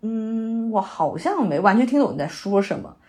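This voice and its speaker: A woman's voice: on the high side, very animated, normal speed, darkish tone. A woman acting a young, sentimental, theatre-loving new graduate in a naturalistic audio drama, speaking in a hesitant, conversational way. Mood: warm, reflective. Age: young adult. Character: young woman fresh out of university, wandering, sentimental theatre lover.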